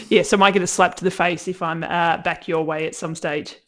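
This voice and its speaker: An Australian woman hosting a podcast, talking in an animated, casual way and laughing a lot. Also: lowish voice, moderate pace. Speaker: podcast host. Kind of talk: casual interview chat.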